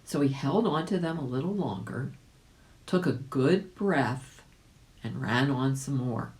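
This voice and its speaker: A woman narrates a children's story in a low voice. She takes her time and swings her pitch widely, speaking straight to young listeners with animated expression. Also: measured pace. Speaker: volunteer audiobook reader.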